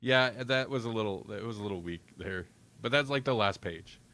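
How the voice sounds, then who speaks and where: A man's voice: lowish voice, a little fast, a bit bright, dry recording. A man co-hosting a podcast, talking casually in a rambling, self-interrupting way. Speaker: podcast co-host. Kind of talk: casual review chat.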